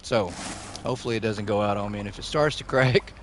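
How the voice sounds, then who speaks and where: A man hosting a gaming channel, chatting casually over gameplay in a rambling, jokey way full of fillers, his voice going slightly hoarse. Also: lowish voice, fast. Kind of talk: casual let's-play commentary. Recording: noisy background under the voice.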